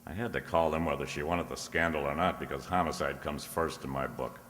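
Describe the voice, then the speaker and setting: A man's voice: a bass voice, steady tone, a little fast, fairly bright tone. A man playing a breezy, teasing ex-cop private detective in an old-time radio drama style, recorded in a very reverberant space. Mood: wry, matter-of-fact. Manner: breezy, teasing, first-person hardboiled narration. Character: wisecracking ex-cop private detective, unflappable, flirtatious. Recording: very reverberant room or hall.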